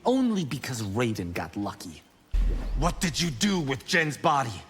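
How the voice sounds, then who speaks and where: A man's voice: medium pitch, very animated, measured pace, fairly bright tone, soft background music. An actor playing a proud young male Shaolin warrior in a video game, brash and boastful, with long reverb. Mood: taunting. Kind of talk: video game character dialogue. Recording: long reverb, faint noise.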